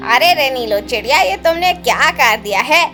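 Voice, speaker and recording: A male cartoon bird voiced by a woman declaims to his flock in a high, sharp, bright voice. He is exclamatory and storybook-like, over soft music. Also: expressive, moderate pace. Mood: alarmed, puzzled. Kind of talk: Hindi children's cartoon dubbing.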